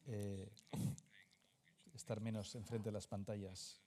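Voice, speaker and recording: Low, mellow-voiced man interviewing on stage. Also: little pitch movement, unhurried, slight background noise. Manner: hesitant, many fillers and restarts when posing questions. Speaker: conversation host, interviewer.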